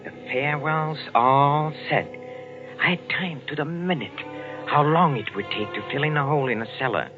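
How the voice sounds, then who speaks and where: An actor playing a fussy henpecked husband turned gleeful murderer, theatrical and excitable in a vintage radio suspense style. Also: male voice, fairly high pitch, normal speed, close to an everyday voice. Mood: cold, confiding. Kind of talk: old-time radio suspense acting with narration. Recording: muffled vintage radio recording with music.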